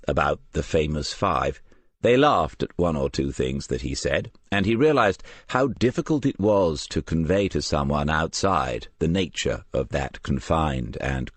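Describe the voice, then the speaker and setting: Man, very deep voice, for crime audiobook narration. Dry studio sound. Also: slightly rough, no echo. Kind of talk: scripted crime novel narration.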